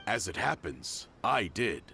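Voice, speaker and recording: Low-voiced helpful townsman. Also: very animated, measured pace, vocal fry, sharp tone, slight background noise, heavily acted. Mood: matter-of-fact. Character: helpful townsman, matter-of-fact eyewitness. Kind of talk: video game voice acting.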